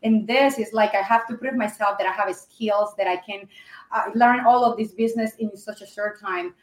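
A woman's voice: medium pitch, steady tone, normal speed, echoey room, low-quality recording. A woman, chatting as a guest on a podcast, searching for words and laughing easily. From Colombia. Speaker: guest, pro wrestler. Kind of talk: casual interview chat.

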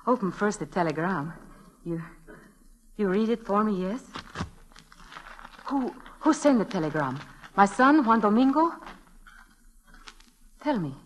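An actress playing a gentle, anxious Mexican immigrant mother, speaking halting, pleading broken English with a Mexican accent, in old-time radio drama style. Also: mid-range voice, animated, slight background noise, close to an everyday voice. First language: Spanish.

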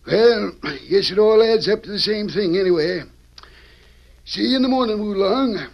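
An actor playing a crusty, plainspoken Western storekeeper in an old radio drama, with a gravelly voice and folksy, blunt American frontier talk. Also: male voice, sits high, normal speed. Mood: friendly. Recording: dull vintage radio recording.

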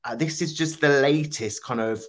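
A man co-hosting a news podcast, explaining political stories in a measured way. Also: mid-range voice. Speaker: podcast co-host, political journalist.

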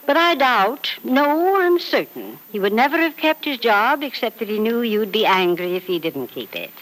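Grand, animated old society mother. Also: on the high side, moderate pace, low-quality recording. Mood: emphatic praise. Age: older. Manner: emphatic, theatrical, grandly affectionate. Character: elderly wealthy mother, frank, doting, imperious. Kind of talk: 1940s radio soap opera acting. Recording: old radio drama recording.

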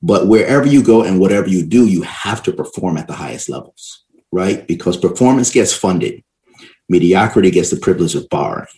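A man's voice: low voice, expressive, brisk, dark tone. A man explaining his points in an interview, emphatic and often checking in with short tag questions. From Barbados and New York City. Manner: emphatic explainer, frequently tags points with "right?". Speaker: interview guest, education and economics expert.

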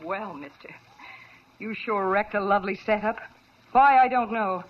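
A woman's voice: mid-range voice, unhurried, lightly acted. A woman playing a sly, scheming young blonde singer in an old radio drama, pleading one moment and coldly taunting the next. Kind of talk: 1940s radio drama acting. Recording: dull lo-fi old radio recording.

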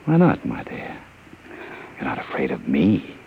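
An actor playing a suave, menacing gangster with a sardonic, commanding manner in an old radio drama. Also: male voice, a bass voice, huge pitch swings, measured pace, creaky, a little hiss or hum, exaggerated voice. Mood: suave, seductive. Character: smooth-talking, menacing male gangster on the run. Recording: dull, muffled vintage radio recording.

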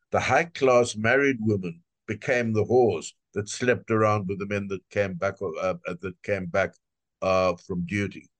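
A retired older man speaks in a somewhat low voice, wry and emphatic as he answers interview questions. He stacks up repeated phrases to drive his points home. The sound is dry with no room echo. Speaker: retired translator, guest.

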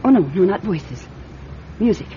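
An actress playing a fragile, grieving widow in classic radio drama, hesitant and haunted, turning insistent. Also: female voice, medium pitch, animated, normal speed, mellow, close to an everyday voice. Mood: troubled, hesitant. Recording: dull, noisy old radio recording.

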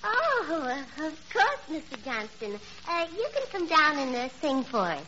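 A dull vintage radio recording of a man-hungry young woman with little sense. The voice is high and very animated, rattling off nonsense as plain fact. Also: normal speed, slight background noise, heavily acted. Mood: flustered. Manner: naive, matter-of-fact illogic. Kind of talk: 1940s radio comedy acting.

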